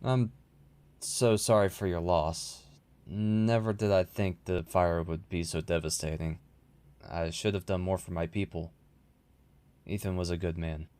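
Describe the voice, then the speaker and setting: A man's voice: low-pitched, fairly even intonation, measured pace, a little mellow. A man playing a genial, familiar older village lord in an audio drama, by turns teasing and regretful.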